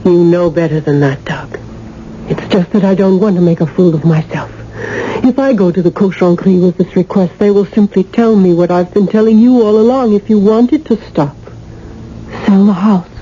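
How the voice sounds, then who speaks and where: Dark-toned, seductive mistress played by a woman. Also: mid-range voice, normal speed, lightly acted. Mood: cool, defensive. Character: cool, seductive mistress with sinister connections. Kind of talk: 1980s radio drama acting. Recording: muffled, noisy old radio broadcast.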